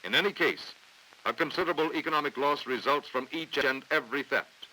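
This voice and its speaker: Forceful, bright-voiced 1950s American narrator man. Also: on the high side, poor audio. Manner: formal, authoritative, measured delivery. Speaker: documentary narrator. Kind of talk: scripted 1950s training film narration. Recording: vintage 1950s film soundtrack.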